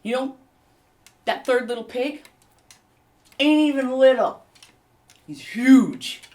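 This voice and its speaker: A woman's voice: high voice, takes it slowly, darkish tone. A woman playing a jealous big bad wolf actor who complains sardonically in a comedy mock interview. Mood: bitter, sardonic.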